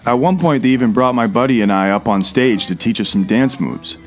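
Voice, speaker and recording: A man's voice: medium pitch, fairly quick. A man narrating his vlog in a scripted voice-over, wry and sarcastic. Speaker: vlogger, host. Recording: voice-over with loud music behind.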